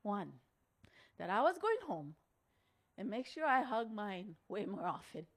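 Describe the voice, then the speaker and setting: A middle-aged or older woman, giving a motivational storytelling speech in an earnest, deliberate way with dramatic pauses. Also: mid-range voice, very animated. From Hawaii. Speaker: speaker at a women's conference.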